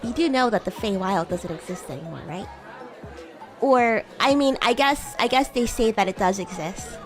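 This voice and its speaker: A woman's voice: medium pitch, lively intonation, moderate pace, soft background music, some chatter behind. A woman streaming an improvised roleplay as a bratty, playful demon schoolgirl, chatting teasingly with her listener. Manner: teasing, giggly, addresses listener as human. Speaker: VTuber streamer in character, chatting with viewers. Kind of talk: improvised in-character roleplay livestream chat.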